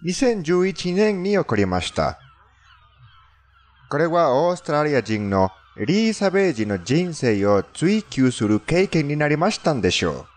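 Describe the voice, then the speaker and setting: A man with a non-native accent delivers scripted countdown narration in a formal, somewhat stilted storytelling manner. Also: fairly high pitch. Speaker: narrator of a fandubbed countdown video.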